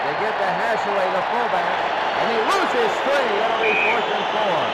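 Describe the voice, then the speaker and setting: High-pitched man calling football over roaring crowd. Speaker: play-by-play announcer. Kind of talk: excited football play-by-play commentary. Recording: noisy game broadcast, loud crowd. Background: loud crowd and heavy background noise.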